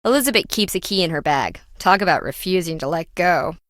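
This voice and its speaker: A woman's voice: on the low side, animated, a little fast, fairly bright tone, no room sound, studio quality. A woman playing a brash, self-important treasure hunter in a video game, sarcastic and slangy, prone to flippant, dramatic complaining. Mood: sardonic, bossy. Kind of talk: video game character dialogue.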